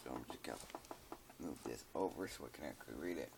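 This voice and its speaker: A man's voice: extremely low pitch, fast, creaky, noisy background. A tired man casually reads a scary story aloud, stumbling over words and joking about them.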